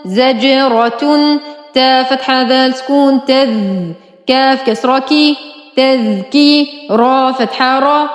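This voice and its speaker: Female teacher, very measured pace, deliberate drawn-out syllables for a phonics drill, reverberant sound with music behind. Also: sits high, steady tone. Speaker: Quran reading teacher. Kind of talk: slow spelling-out Arabic phonics drill.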